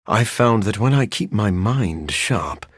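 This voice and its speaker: A weary, cerebral vampire scientist for a video game. His low-set male voice is husky and swings freely in pitch as he delivers formal, measured lines with dry gloom. The recording is close and dry. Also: expressive, dry recording, full character voice. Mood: measured, scholarly. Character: brooding vampire scientist man, cerebral, dryly weary antihero.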